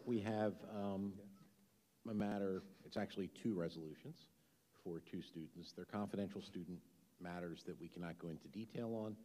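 A man's voice: low-pitched, warm tone, long reverb tail. A man chairing a school board work session, moving through agenda items in a matter-of-fact way. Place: large school auditorium.

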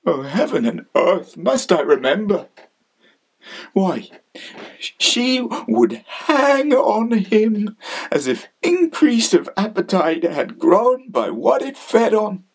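An actor with a New Zealand accent playing a grieving, bitter young prince in a theatrical Shakespearean monologue, halting and breathy. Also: male voice, sits high, sing-song, heavily acted. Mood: anguished remembrance. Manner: slow, halting, anguished delivery with weighted pauses.

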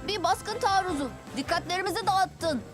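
An earnest, plucky young cartoon hero boy. His voice is high and confident, and music runs clearly underneath. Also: moderate pace. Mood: determined. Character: earnest confident six-year-old superhero boy leader. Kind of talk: children's cartoon dub acting. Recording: loud music bed behind.

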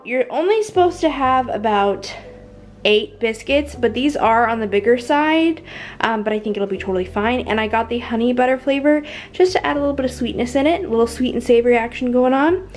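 A young woman chatting casually through a home cooking video, upbeat and full of fillers, recorded at home with soft music behind. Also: fairly high pitch. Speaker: cooking vlogger. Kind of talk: casual cook-along narration. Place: living room at home, indoors.

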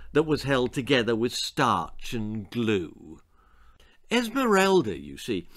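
A man in his sixties reading a children's story aloud with dramatic, expressive narration, savouring the words. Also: medium pitch. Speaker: author reading own stories. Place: small book-lined room indoors.

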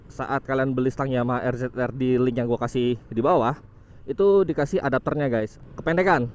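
A young man, giving casual motovlog commentary while riding, relaxed and informal, often saying "guys". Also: medium pitch, brisk. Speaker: motovlog host. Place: on a motorcycle on a city road outdoors.

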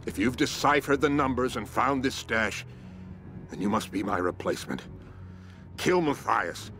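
An actor playing a grim, dying secret operative, weakened by wounds, giving a dramatic report in a game. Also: male voice, medium pitch, animated, moderate pace, fairly bright tone, faint music behind, natural voice. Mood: grim urgency.

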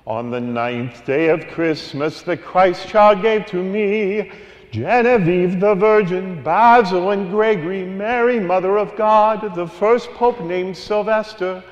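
High-voiced priest in a reverberant church. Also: male voice, takes it slowly, lo-fi sound. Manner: sings a long cumulative list, then talks plainly and conversationally. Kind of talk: homily with a sung carol.

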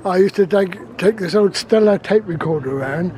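An older man with a distinctly high-pitched voice chats casually outdoors. He sounds conversational, as an interviewer behind the camera. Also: moderate pace, mellow, a little hiss or hum. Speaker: interviewer filming a vlog. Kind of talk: casual chat, reminiscing and questioning. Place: outdoors on a seafront.

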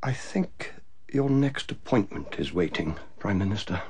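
An actor playing a world-weary, haughty senior civil servant in a radio drama, with an urbane, sardonic English accent. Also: male voice, fairly low pitch, big pitch swings, a little slow, crowd noise. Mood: deferential, cautious.

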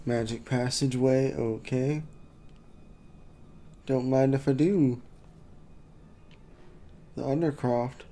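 A man doing casual let's play commentary into a desk mic, thinking aloud with a throat that keeps needing clearing. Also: mid-range voice, steady tone, slow pace, pauses often, warm tone. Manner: laid-back, thinking aloud. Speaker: gaming youtuber.

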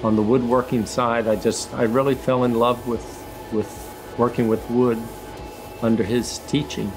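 An older man, a retired engineer, reflecting slowly on his woodworking in a documentary interview, with many pauses and restarts. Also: medium pitch, level tone, music in the background, poor audio. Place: woodworking shop in a farm building.

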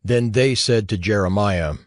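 Low-voiced male scripture narrator over music. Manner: measured, formal storytelling. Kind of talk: scripted narration of a dramatized audio Bible.

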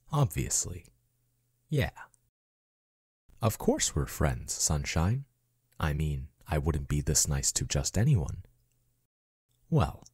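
A man acts out a devoted AI companion in an ASMR roleplay. His voice is very low, mellow and husky, with lively swings in intonation. He reassures tenderly and earnestly, with a possessive streak. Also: dry recording, clean studio sound, full character voice. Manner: soothing, earnest, affectionate reassurance. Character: devoted male chatbot robot companion, tender, possessive, falling in love.

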